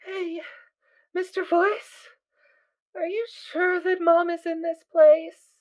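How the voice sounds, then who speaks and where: Tiny, very high-pitched filly. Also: female voice, level tone, dull audio. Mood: innocent. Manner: childlike, hesitant, slow. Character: small young filly ghoul, earnest, childlike, polite.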